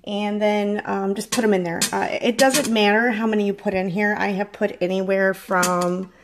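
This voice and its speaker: A woman's voice: medium pitch, steady tone, moderate pace, noisy background. A woman chatting casually as she demonstrates cooking, rambling and correcting herself, filmed on a phone in her home kitchen. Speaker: farm vlogger. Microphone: phone held in hand.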